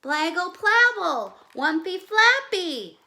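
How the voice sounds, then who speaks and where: A woman's voice: very high voice, sing-song, slow, sharp tone, voice pushed up, heavily acted. A woman voicing a frustrated toddler girl who babbles urgently in emphatic baby-talk gibberish. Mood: urgent babbling.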